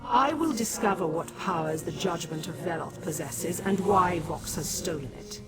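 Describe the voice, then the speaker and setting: A woman's voice: lowish voice, lively intonation, moderate pace, natural voice. A woman playing a solemn, benevolent goddess ruler in a fantasy video game, speaking in a formal and stately manner. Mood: solemn, commanding. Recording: loud music behind the voice.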